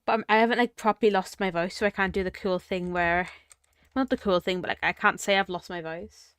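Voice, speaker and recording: A young woman streamer with a croaky, sore voice, chatting casually and muttering to herself while she plays. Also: mid-range voice, steady tone, a little fast, fairly bright tone, no room sound, studio quality. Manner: rambling, self-mocking, mutters to herself. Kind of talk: casual livestream chat while gaming.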